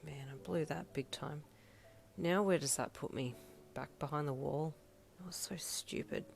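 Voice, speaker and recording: A woman voicing a dejected, self-blaming young man in a story, speaking in a hushed, downcast way. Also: low voice, a little slow, vocal fry. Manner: hushed, half-whispered, downcast.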